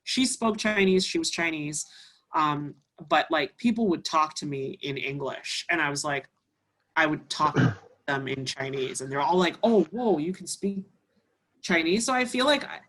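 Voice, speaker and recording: A woman's voice: sits low, normal speed, fairly bright tone. Canadian musician lady chatting away in an interview, tons of fillers. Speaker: musician guest. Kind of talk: casual interview chat.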